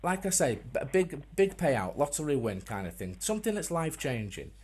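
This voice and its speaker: A man making a prank call as a customer, speaking in a deadpan, mock-earnest way with hesitant, repeated phrases. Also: a little high, a little fast, breathy edge. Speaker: prank caller.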